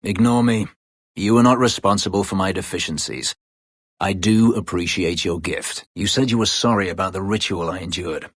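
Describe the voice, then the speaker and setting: An actor playing a brooding, bitter escaped-slave elf warrior in a fantasy video game, terse, guarded and drily sardonic, with a gravelly voice. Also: male voice, moderate pace, no room sound, clean studio sound. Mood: dry, sardonic. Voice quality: gravelly, husky low voice. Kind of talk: dramatic fantasy video game character dialogue.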